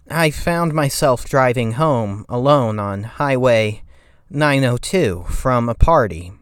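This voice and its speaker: A man playing a timid, haunted narrator in a dramatic horror story reading, with a shaky, halting, stammering delivery. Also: mid-range voice, clear, resonant tone, no echo, clean studio sound. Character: timid, shaky, haunted narrator recalling a frightening night drive. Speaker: creepypasta reader.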